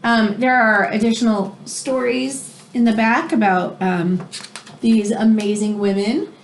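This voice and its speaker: Higher-voiced woman reading a book aloud. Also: a little slow, slight background noise, poor audio. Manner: expressive, explains words for young listeners. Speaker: read-aloud storyteller. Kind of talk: reading a children's book aloud, with informal asides.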